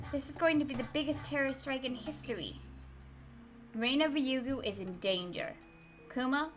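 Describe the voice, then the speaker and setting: A woman's voice: sits high, fairly bright tone. A woman voicing a stern male police chief who snaps out urgent orders. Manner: barking urgent commands. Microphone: webcam and desk mic.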